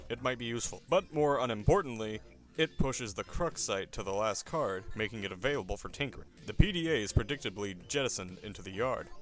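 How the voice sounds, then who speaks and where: A man narrating a scripted comic dub in a theatrical, mock-serious style. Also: mid-range voice.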